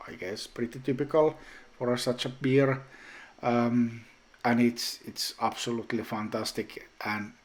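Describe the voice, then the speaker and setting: A man whose native language is Finnish, talking casually in a home brewing vlog update, with many pauses and restarts. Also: mid-range voice. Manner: slow, hesitant, many ums and restarts.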